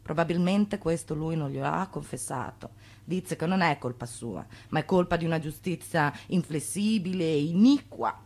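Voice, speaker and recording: An actress playing a stern, grave mother in a radio drama, reading in a measured, formal manner. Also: low-pitched, lively intonation, darkish tone. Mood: grave, disapproving. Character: stern, grave mother writing a warning letter.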